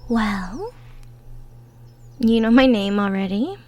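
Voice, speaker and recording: High-pitched, sweet young woodland woman, played by an actress, gentle and cheerful, folksy, for an intimate roleplay audio. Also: expressive, slow, slight background noise. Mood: thoughtful. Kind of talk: roleplay audio drama, intimate one-sided conversation.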